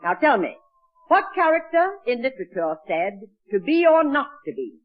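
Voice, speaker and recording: A woman's voice: high voice, very animated, normal speed. An actress playing a prim, kindly lady librarian running a quiz in an old radio comedy, precise and formal. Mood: patient, prim. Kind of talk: 1940s radio comedy acting. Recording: dull, lo-fi vintage radio recording.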